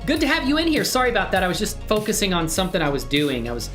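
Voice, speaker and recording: A woman's voice: medium pitch, wildly swooping pitch, very fast, soft background music. A woman hosting a casual livestream, chatting to viewers in a friendly, exclamatory way. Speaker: live streamer hosting own channel. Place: indoors at a computer.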